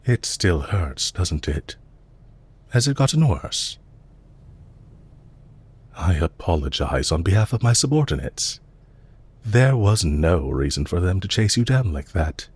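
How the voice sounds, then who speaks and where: Genteel villain captor for audio roleplay, played by a man: low, breathy voice, formal, solicitous, quietly in command. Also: sing-song, full character voice. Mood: concerned, apologetic. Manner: polite, measured, formal, softly commanding. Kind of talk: scripted roleplay audio drama.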